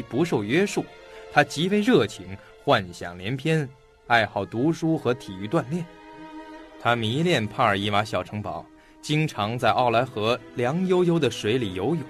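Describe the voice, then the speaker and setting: An actor playing a shrewd, talkative, self-assured detective in an audio mystery drama, laying out a case in a theatrical storytelling manner. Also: male voice, medium pitch, natural voice.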